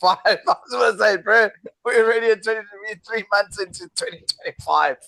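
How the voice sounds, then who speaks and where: A man in his thirties co-hosting a podcast chat, halting and restarting, laughing often. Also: sits high, pushed and bright, dry recording. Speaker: podcast co-host. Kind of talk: casual chat over a video call. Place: at home in South Africa.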